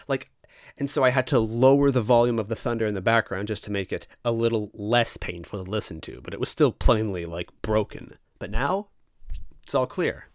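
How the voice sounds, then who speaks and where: A man with a fairly low voice talks casually as the host of his own series. He rambles, hesitates and breaks off his own sentences, in a close, dry recording. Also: dry recording. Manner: hesitant, halting, self-interrupting. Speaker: host of a fan fiction reading series. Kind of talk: casual rambling preamble to listeners.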